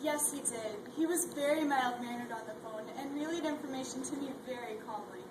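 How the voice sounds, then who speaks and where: A young woman playing a calm phone operator who speaks in a soothing, steady way, in a student readers theatre. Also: high voice, a little fast, natural voice. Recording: echoey hall from far off, very noisy.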